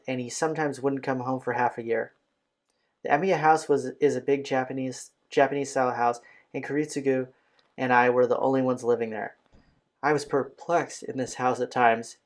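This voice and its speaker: A man reading visual novel narration aloud as a let's play host, occasionally stumbling over a phrase. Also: mid-range voice. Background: game music.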